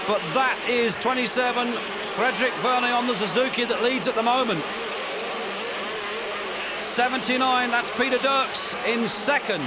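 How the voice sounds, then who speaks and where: A man commentating a motocross race in an energetic way that picks up as the action builds. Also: extremely high pitch, very noisy. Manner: energetic, rising excitement on race calls.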